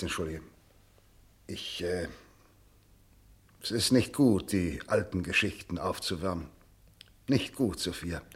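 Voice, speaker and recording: A man's voice: sits low, breathy edge, heavily acted. An actor playing a cold, legalistic estranged husband and father in a German radio drama, with formal, stiff phrasing. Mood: subdued, uneasy.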